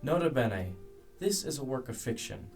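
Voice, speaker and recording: A man's voice: mid-range voice, sing-song, normal speed, lightly acted. A man narrating the scripted introduction to a radio play in a formal, tongue-in-cheek way. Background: plucked guitar music.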